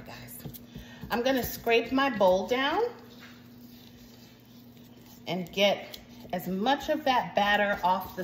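A woman in her forties with an American accent, hosting a casual baking tutorial in her home kitchen and chatting to viewers with frequent asides. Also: mid-range voice. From United States, Jamaican household. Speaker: home cooking show host. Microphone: phone camera at arm's length. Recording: echoey kitchen with faint noise.

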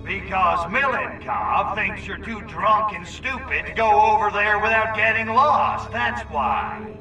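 An actor playing a cocky, wisecracking alien invader in a video game cutscene, sarcastic and blustering. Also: male voice, high voice, unhurried, pushed and bright, far from the mic, muffled recording, soft background music, exaggerated voice. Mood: exasperated.

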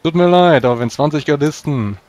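A man speaking formally and deliberately as a proud, pious knight in a tabletop role-playing game. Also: a little high, a little mellow. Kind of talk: in-character role-play narration. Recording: clear background noise.

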